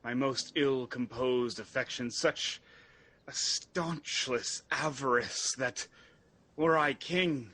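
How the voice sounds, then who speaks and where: Young exiled prince, male actor: anguished and fervent, bright forceful husky voice, halting classical stage delivery. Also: mid-range voice. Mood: self-accusing, fervent. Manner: halting, theatrical, impassioned delivery. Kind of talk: Shakespearean radio drama acting.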